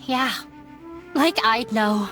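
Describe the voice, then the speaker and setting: An actress playing a hurt young theater performer in a video game, earnest and confiding. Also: female voice, a little high, extremely slow, fairly bright tone. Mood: bitter, resigned. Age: young adult. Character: hurt young woman, runaway daughter turned theater performer. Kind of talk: dramatic video game voice acting. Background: background music.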